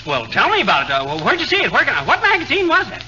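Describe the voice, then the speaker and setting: An actor playing a boastful, easily flustered American husband with folksy bluster in 1940s radio comedy. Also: male voice, very high voice, wildly swooping pitch, fast, sharp tone, exaggerated voice. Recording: noisy, muffled 1940 radio broadcast.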